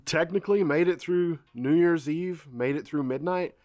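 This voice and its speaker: A man's voice: a little high, normal speed, darkish tone, no room sound, clean studio sound. A man, congested, chatting casually to viewers in a homestead vlog. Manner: folksy, chatty, addresses viewers as y'all. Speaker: farm vlogger. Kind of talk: casual vlog talk to camera.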